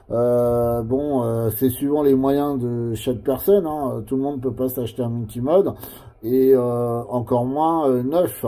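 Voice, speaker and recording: Man, French accent, very dark soft-edged tone, medium pitch, casual radio chat, rambling explanations. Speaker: amateur CB radio operator who makes videos.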